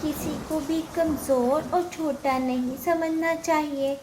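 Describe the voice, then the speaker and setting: A woman's voice: high-pitched, steady tone, slow pace, heavy background noise, voice pushed up. A woman voicing a sweet, earnest young girl sparrow in a children's cartoon.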